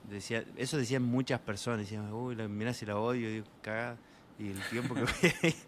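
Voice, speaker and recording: A man's voice: mid-range voice, steady tone, quick pace, a little hiss or hum. Rambling young Argentine man telling stories. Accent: Argentine Spanish. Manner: rambling, many fillers, voices quoted people. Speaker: interview guest. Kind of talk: casual storytelling in an interview.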